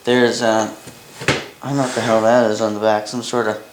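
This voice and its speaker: A man's voice: fairly low pitch, flat delivery. Offhand Canadian man in an echoey room. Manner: halting, offhand, thinking aloud. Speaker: hobbyist presenter demonstrating a vintage boombox. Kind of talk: casual unscripted demonstration. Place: indoor room at a workbench by a window. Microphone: camera mic a short distance away. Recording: roomy, with noise and clicks.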